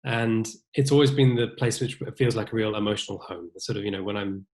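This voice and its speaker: A man's voice: lowish voice, brisk, a little mellow. A young man from New Zealand with a British accent, talking thoughtfully as a violinist guest in an interview, often restarting his sentences. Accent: British English. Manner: thoughtful, many restarts and fillers. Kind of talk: casual interview conversation.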